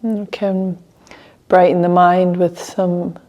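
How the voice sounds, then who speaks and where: A woman teaching a guided meditation, speaking gently in short phrases with long pauses between them. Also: lowish voice, little pitch movement, highs cut off.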